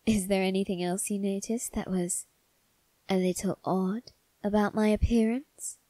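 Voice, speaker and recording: A woman voicing a suave male vampire husband in an ASMR roleplay, coaxing softly and often whispering with quiet menace. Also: medium pitch, steady tone, no echo, lightly acted. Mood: seductive menace. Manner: slow, soft, breathy coaxing, often whispered.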